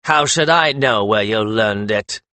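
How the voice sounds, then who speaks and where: A man's voice: fairly low pitch, a little slow, clean tone, dry recording. Casting: man to play an enraged, suspicious lord magus for a fantasy audiobook, snarling and bullying. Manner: shouting, snarling. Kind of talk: audiobook character voice.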